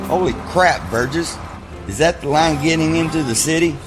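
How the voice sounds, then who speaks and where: A nosy, teasing mercenary played by a man in an audio drama. His voice is fairly high for a man, set against loud music. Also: normal speed, lightly acted. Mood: surprised.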